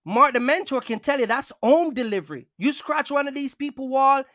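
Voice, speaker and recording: A man's voice: extremely high pitch, expressive, a little fast, sharp tone, no room sound, studio quality. A man talking casually and emphatically as a trucking vlogger, repeating himself and addressing listeners directly in streetwise language. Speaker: box truck owner-operator and vlogger. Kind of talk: casual, streetwise storytelling talk.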